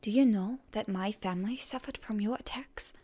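A woman playing a proud, stubborn young heroine in an ASMR roleplay, in a breathy, often whispery voice with indignant bluster. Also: medium pitch, warm tone, close to an everyday voice. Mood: scornful, hurt. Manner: indignant, halting, tsundere bluster. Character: proud, stubborn wounded young heroine, defiant toward her enemy.

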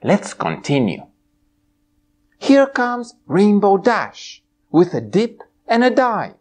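A man in his forties from Romania reading a children's storybook aloud as an expressive host, drawing out his words. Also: high-pitched, slow pace. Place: small room indoors.

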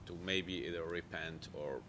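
Audience man, very low voice, hesitant with fillers, faint room rumble. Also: steady tone, normal speed. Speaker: audience member asking a question. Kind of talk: asking a question.

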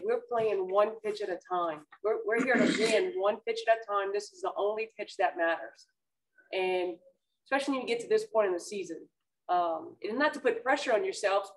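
A woman softball coach answering press questions at a table, speaking plainly and repeating words as she thinks. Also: medium pitch, recorded from a distance, rough recording. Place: press room, seated at a table.

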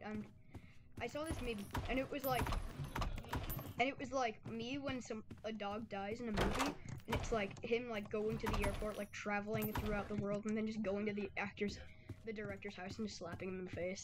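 A young gamer giving casual, rambling gameplay commentary full of slang and filler "like", recorded at home. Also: mid-range voice. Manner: rambling, slangy, uses "like" constantly. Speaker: gaming video creator. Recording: heavy noise, clicks.

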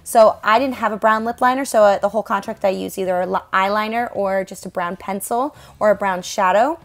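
A woman's voice: mid-range voice, steady tone, brisk. A young woman talks loosely and chattily as she narrates her own stage makeup and hair routine. Her delivery is casual and self-deprecating, broken by frequent small laughs. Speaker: stage performer and makeup vlogger. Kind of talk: casual tutorial voice-over.

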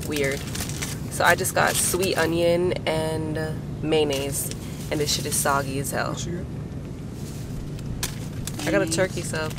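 A woman's voice: deep voice, fairly even intonation, takes it slowly, long pauses, heavy background noise. A young woman in her twenties chatting casually to a phone camera from inside a parked car, laid-back and swearing now and then. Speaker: vlogger. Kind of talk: casual vlog talk to camera. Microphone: phone camera at arm's length.

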